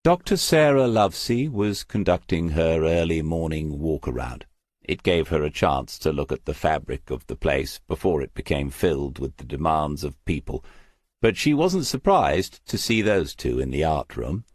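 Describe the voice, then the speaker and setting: A man reading a crime novel as an audiobook narrator, with measured, scripted storytelling delivery. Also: rumbling low, sing-song, darkish tone, no echo, studio quality.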